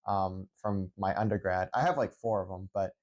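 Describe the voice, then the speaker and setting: A man's voice: sits low, fairly even intonation, moderate pace, a little mellow. Young man rambling into his phone. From Central Pennsylvania. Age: young adult. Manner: rambling, many restarts and fillers. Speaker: esports head coach. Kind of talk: unscripted vlog monologue.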